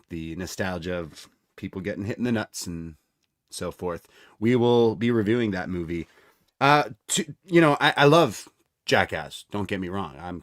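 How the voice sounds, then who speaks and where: A man's voice: low voice, dry recording, clean studio sound. A man co-hosting a podcast, talking in a casual, rambling, conversational way. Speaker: podcast co-host. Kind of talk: casual chat.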